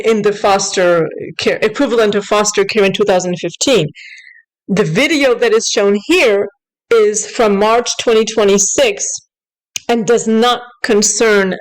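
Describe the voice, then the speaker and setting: A woman's voice: mid-range voice, poor audio. A woman giving unscripted news commentary in an upset, insistent, corrective way, sometimes switching between two languages as she reads aloud. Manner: switches between English and French while reading aloud. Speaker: online commentator.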